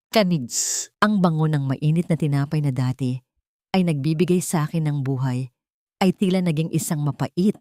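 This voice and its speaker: A woman with a Filipino accent narrating a scripted dramatic first-person story in a measured, emotive way. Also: sits low, dark tone, no room sound, clean studio sound.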